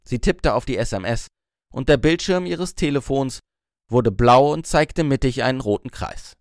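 Male narrator, scripted novel reading, medium pitch, dry studio-like sound. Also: lively intonation, moderate pace, no room sound. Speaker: audiobook narrator.

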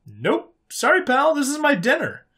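Man voicing a high-pitched, cheerful folksy human interrogator. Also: normal speed, heavily acted. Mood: calm, amused. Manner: folksy, calm, drawling colloquial phrases. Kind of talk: storybook character voice in narrated sci-fi tale.

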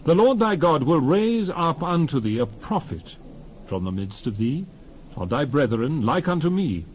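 Solemn male Bible narrator, animated intonation. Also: on the high side, a little hiss or hum. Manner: solemn, declamatory, measured phrasing. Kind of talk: dramatized scripture reading.

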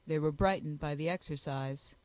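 A woman reading aloud, voicing a proud, reserved young gentleman with a cool, measured delivery in a lowered voice. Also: no echo, lightly acted.

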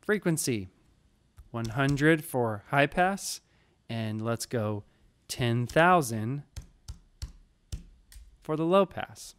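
A man in his thirties teaching audio editing in a relaxed, explanatory way, recorded on a studio microphone in a small home studio. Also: medium pitch, extremely slow, pauses often, smooth. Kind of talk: screen-recorded tutorial. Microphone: studio mic in frame, wearing headphones.

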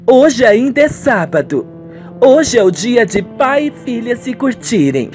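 An actress plays a headstrong young Brazilian woman in a radio soap. Her voice sits fairly high and swings widely as she stretches her phrases with emphasis, over faint music. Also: very animated, unhurried, a little mellow. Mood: determined. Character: headstrong, scheming young woman, a rich farmer's daughter. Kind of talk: amateur radio soap opera acting.

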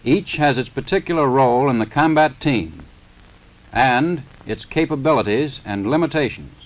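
An American man reading a formal 1930s scripted narration for a training film in a measured, matter-of-fact, instructional manner. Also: mid-range voice, animated. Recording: dull, lo-fi 1930s film soundtrack.

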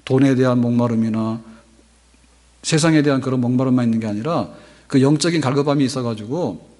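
A man's voice: medium pitch, brisk, dark tone. A man in his fifties preaching a sermon from a church pulpit microphone, talking conversationally and asking the congregation rhetorical questions. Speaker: pastor. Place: large church sanctuary, at a pulpit. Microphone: pulpit microphone on stand. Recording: long hall reverb.

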